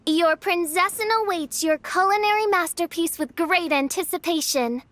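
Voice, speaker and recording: High-voiced grandiose teen princess. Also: female voice, normal speed, a bit bright. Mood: regal, grandiose. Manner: grandiose, archaic, declamatory. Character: theatrical teenage girl styling herself a grandiose princess. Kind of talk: animated video game acting.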